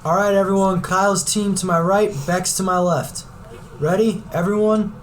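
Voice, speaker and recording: A woman voicing a brisk, commanding male gym teacher giving orders in a radio drama, with noticeable background noise. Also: medium pitch, steady tone, voice pushed down, close to an everyday voice. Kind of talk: amateur radio drama acting. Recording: amateur recording with clear background noise.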